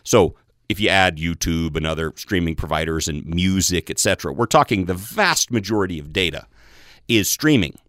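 Low bass man, tech guest, lively explainer, dry studio sound. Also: moderate pace, no room sound. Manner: explains patiently with everyday analogies. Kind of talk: radio interview.

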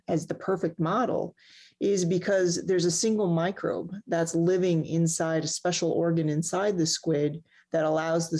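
A mature woman with a low voice talks as a research scientist being interviewed. She lays out her work articulately, in plain everyday terms. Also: a little mellow. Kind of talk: explanatory interview talk.

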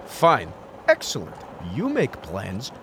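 A man's voice: mid-range voice, wildly swooping pitch, takes it slowly, a bit bright, a little hiss or hum, exaggerated voice. A young man acting an earnest, naive and anxious Soviet comrade, formal and literal-minded, in a radio comedy. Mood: resigned, stubborn. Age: young adult.

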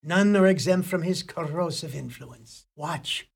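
A man's voice: fairly high pitch, measured pace, creaky, breathy edge, full character voice. A man playing a stern, pompous Irish village priest in a radio play, preaching in florid phrases with an Irish accent. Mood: ominous.

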